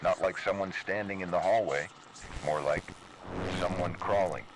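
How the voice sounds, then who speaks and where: A man with a very low voice tells a scripted horror story in the first person. Background noise runs under the narration. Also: moderate pace.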